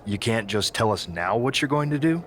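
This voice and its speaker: A man's voice: medium pitch, expressive, moderate pace, fairly bright tone, a little hiss or hum, close to an everyday voice. A man playing an earnest, emphatic wrestling official in a video game. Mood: formal, pressing.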